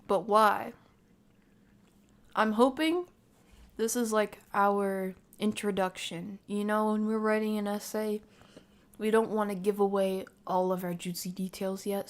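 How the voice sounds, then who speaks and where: Woman, livestream host, mid-range voice, casual reaction commentary, thinks aloud, circles back through sentences. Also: mid-range voice.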